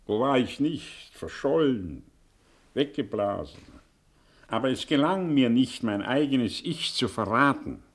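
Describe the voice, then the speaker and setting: A man acting a worldly, sardonic returned professor in a German radio play, theatrical, reflective and emphatic in his rhetoric. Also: a little high. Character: worldly, sardonic professor back from exile in America.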